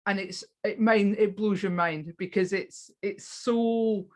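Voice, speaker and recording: Woman chatting in an interview, keen, lots of restarts, mid pitch. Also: steady tone, takes it slowly. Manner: enthusiastic, restarts often, hesitant fillers. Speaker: guest on spiritual practice. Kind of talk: casual interview talk.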